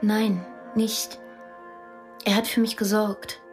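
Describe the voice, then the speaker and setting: A woman's voice: medium pitch, flat delivery, slow pace, soft background music, natural voice. An actress playing a wistful but determined young refugee girl in a radio play, with a simple, childlike manner. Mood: hesitant, remembering. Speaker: actor in a radio drama.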